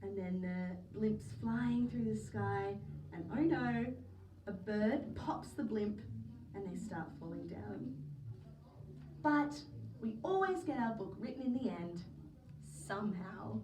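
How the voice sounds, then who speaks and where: A woman reading a children's story aloud as a teacher, in an animated narrating style. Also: medium pitch, takes it slowly. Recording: roomy, distant mic, faint noise.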